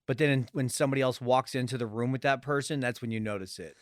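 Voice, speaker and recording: A man's voice: mid-range voice, quick pace, no echo, studio quality. A man co-hosting a casual movie podcast, chiming in with teasing, sarcastic remarks. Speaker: podcast co-host.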